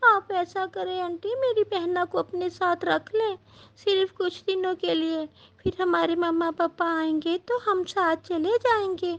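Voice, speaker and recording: A woman's voice: very high-pitched, unhurried, lo-fi sound, pitched above the performer's own voice. A woman voicing a young boy bird, a polite, protective big brother who speaks earnestly in a cartoon. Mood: pleading. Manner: earnest, pleading.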